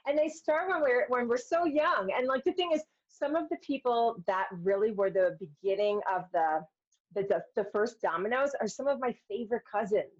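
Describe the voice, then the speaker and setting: A woman's voice: fairly high pitch, expressive, normal speed. A middle-aged woman telling personal stories candidly in a podcast conversation, acting out quotes of herself and others as she goes. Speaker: podcast guest, filmmaker and speaker. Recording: dull, lo-fi sound.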